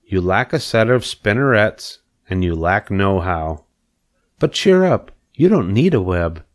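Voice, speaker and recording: A man's voice: fairly low pitch, sing-song, measured pace. A man voicing a wise, kindly female spider in a storybook read-aloud, patient and explaining.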